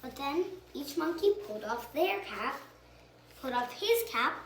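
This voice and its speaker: A female storyteller with a very high, bright voice reads a children's book aloud. Her delivery is animated, with little questions to the listener along the way. The room echoes around her. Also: measured pace. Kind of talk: expressive picture-book read-aloud with asides to the listener. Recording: roomy echo, faint background noise.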